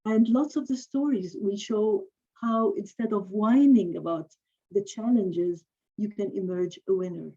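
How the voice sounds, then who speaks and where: A woman co-hosts an online community event and announces a raffle. She talks in a friendly way, with a few fillers. Her voice is mellow and warm, and it sounds slightly muffled. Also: medium pitch, a little slow. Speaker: co-host running a raffle. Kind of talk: event hosting, partly scripted.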